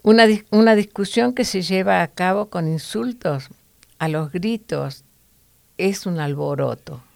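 A woman's voice: fairly low pitch, measured pace, vocal fry, darkish tone, no echo. A woman teaching from the Bible to other women in an earnest, preachy way, with fillers and restarts.

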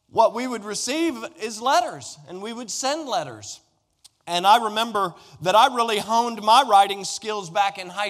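American guy preaching, middle aged, kinda high voice, chatty but emphatic, lots of hall reverb. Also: fairly bright tone. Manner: conversational, emphatic, rhetorical questions to listeners. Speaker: pastor. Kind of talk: sermon. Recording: echoey hall with long reverb.

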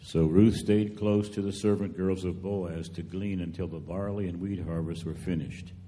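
Deep, very mellow male narrator, hall reverb. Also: fairly even intonation, normal speed. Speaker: scripture narrator. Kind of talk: scripted dramatic narration.

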